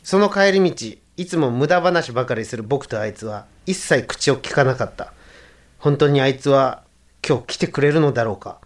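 Animated young man, sensitive and boyish acted. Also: medium pitch, normal speed, lightly acted. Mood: reflective. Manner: casual boyish speech. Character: sensitive young man among old friends, narrating his worries. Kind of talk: Japanese radio drama acting with inner monologue.

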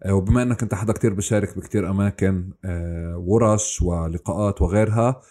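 A man hosting an interview in a relaxed, joking way. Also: low voice, fast. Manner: relaxed, joking, laughs easily. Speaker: interview host. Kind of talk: casual interview chat.

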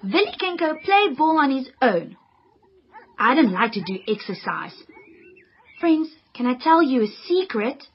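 A woman's voice: sits high, very animated, measured pace, breathy edge, lo-fi sound, heavily acted. A woman voicing an earnest, studious young girl who loves homework and avoids exercise.

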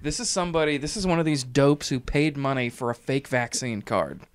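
A man's voice: mid-range voice, a little fast, smooth. A man co-hosting a casual show, laughing along and finishing his partner's lines while introducing stories. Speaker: co-host. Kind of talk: casual banter about odd news.

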